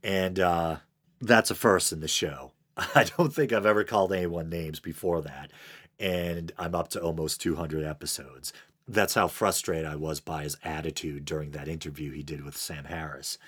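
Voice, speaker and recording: A man's voice: a bass voice, steady tone, normal speed, fairly bright tone, husky. A man with a Boston accent hosting a solo podcast, talking in a wry, irreverent way and reading out comments with the odd chuckle. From Northeastern United States. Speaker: podcast host.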